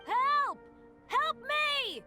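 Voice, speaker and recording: A woman voicing an earnest, apologetic, unlucky boy adventurer in an animated video game, eager and polite. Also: extremely high pitch, extremely slow, loud music behind the voice, heavily acted. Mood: pleading.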